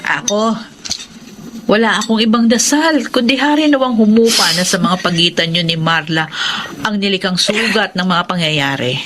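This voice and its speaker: An actress playing a kindly, middle-aged, conciliatory aunt, earnest and expressive in radio melodrama style. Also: female voice, medium pitch, normal speed, noisy background, lightly acted. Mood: gently pleading. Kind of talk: Tagalog radio melodrama acting.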